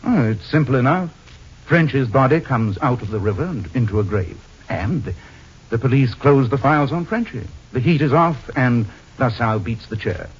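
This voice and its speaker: An actor playing a suave, unflappable amateur sleuth with dry wit in an old-time radio detective drama, wry and hesitating deliberately. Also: male voice, medium pitch, animated, breathy edge. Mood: cool, probing. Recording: dull, muffled old radio recording.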